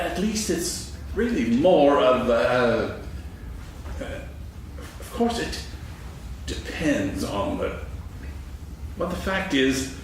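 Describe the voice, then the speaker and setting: A man playing a pompous, absentminded owl in a staged reading of a children's story, bluffing at being wise. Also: mid-range voice. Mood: flustered bluffing. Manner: pompous, ponderous, hesitant bluffing. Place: on stage in a hall, recorded from afar. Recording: echoey hall, distant, faint noise.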